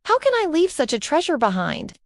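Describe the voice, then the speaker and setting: A woman's voice: high voice, wildly swooping pitch, a little fast, no echo, clean studio sound, pitched above the performer's own voice, exaggerated voice. A woman voicing a brave, earnest young prince in a storybook tale. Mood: tempted.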